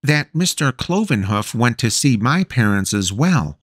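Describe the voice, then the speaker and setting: A man's voice: medium pitch, big pitch swings, unhurried, slightly rough, dry recording, studio quality. A man doing a formal, reserved boy.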